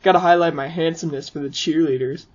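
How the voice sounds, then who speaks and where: A man voicing a vain, boastful muscle-bound monster bully in an animated comic dub. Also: fairly high pitch, fast, close to an everyday voice. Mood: smug. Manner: boastful, preening. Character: vain, swaggering muscular horse-merman monster bully. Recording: muffled lo-fi audio under music.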